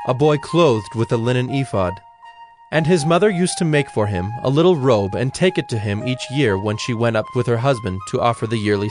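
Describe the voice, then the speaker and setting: A man narrating scripture from a script in a solemn style. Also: medium pitch.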